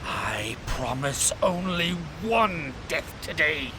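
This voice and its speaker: An actor playing a vengeful, murderous criminal mastermind in a melodramatic radio drama, with a gravelly, theatrical delivery. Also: male voice, on the high side, big pitch swings, noisy background, full character voice. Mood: deadly menace. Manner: slow, theatrical, erupting into shouts.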